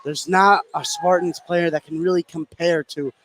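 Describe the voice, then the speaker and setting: A man's voice: a little high, steady tone, normal speed. A man giving casual live color commentary and analysis at a basketball game. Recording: crowd noise behind commentary. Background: gym crowd.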